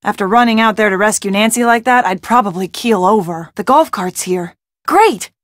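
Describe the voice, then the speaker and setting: A woman's voice: medium pitch, moderate pace, no echo, studio quality, lightly acted. A woman playing a plucky young detective's friend in a video game, casual, wry and forthright. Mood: matter-of-fact. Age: young adult. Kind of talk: video game character acting.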